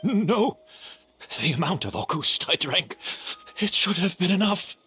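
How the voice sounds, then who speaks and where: A distraught, disbelieving man from a video game. His voice is sharp and bright, swinging widely as he speaks in halting, desperate phrases, with faint music behind. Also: high-pitched, very animated, heavily acted. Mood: anguished. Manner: halting, emotional. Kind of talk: video game character acting.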